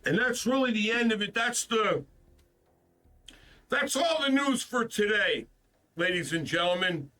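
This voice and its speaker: A man hosting a gaming stream, still stuffed up after the flu, rants in a frustrated, profane way and keeps repeating himself. His voice sits high and bright. He sounds a little distant from the microphone. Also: animated, unhurried, long pauses. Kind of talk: casual ranting stream talk.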